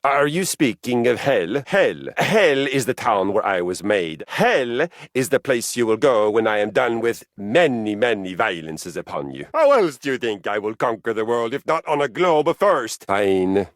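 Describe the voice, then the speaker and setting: An actor playing a boastful, brutal Viking-like fitness object in a video game, grandiose and formal, with a gravelly, bellowing voice. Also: male voice, mid-range voice, sing-song, measured pace, hardly any pauses, no echo. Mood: bellowing warlike. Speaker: dating game character. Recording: dry studio recording.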